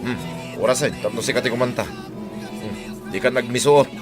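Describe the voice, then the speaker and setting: A man's voice: medium pitch, normal speed, over music, natural voice. A man playing a sly, grumbling village husband in an Ilocano radio drama, with an Ilocano accent. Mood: conspiring.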